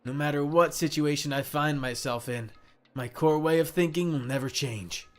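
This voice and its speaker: A streamer voicing a self-deprecating, unsettlingly devoted young believer in hope, reading visual novel dialogue in a theatrical, measured, menacingly cheerful manner. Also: mid-range voice, faint music behind, lightly acted. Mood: calm, sinister.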